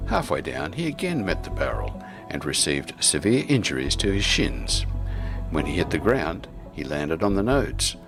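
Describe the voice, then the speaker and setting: A man hosting a radio storytime show, moving between casual announcements and scripted story reading over music. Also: low-pitched.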